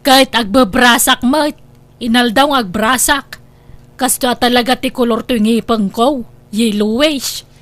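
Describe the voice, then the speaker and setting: A woman's voice: on the high side, unhurried, a bit bright. A woman with an Ilocano Filipino accent acting an anxious, complaining old wife in a comedy drama, exasperated with her husband.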